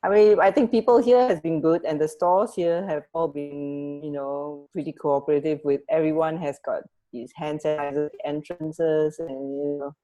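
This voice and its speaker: A woman co-hosting a radio show in casual chat, talking in a chatty, halting, rambling way. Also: low voice, steady tone, warm tone, highs cut off, soft background music.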